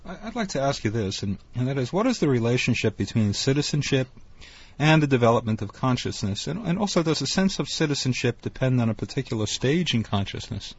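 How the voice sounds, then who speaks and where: A man's voice: medium pitch, very animated, fairly quick, breathy edge. A man hosting a discussion panel, speaking in an earnest, reflective way. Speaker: host. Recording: dull lo-fi broadcast recording.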